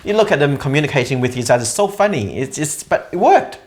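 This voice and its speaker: A middle-aged man telling an animated story from a living room sofa, with plenty of fillers. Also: on the high side, fast talker. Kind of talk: documentary interview.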